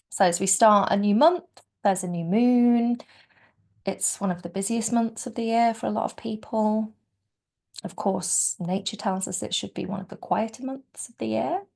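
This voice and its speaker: A woman leading a guided yoga nidra meditation in a soft, soothing, unhurried way, often trailing off into a breathy near-whisper. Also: fairly high pitch. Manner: soft, soothing, unhurried delivery with gentle pauses.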